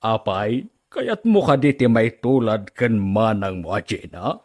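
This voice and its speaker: A man's voice: on the low side, expressive, unhurried, darkish tone. A man acting an earnest, serious family man in an Ilocano radio drama. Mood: disapproving. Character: men of a family drama: stern father, earnest suitor.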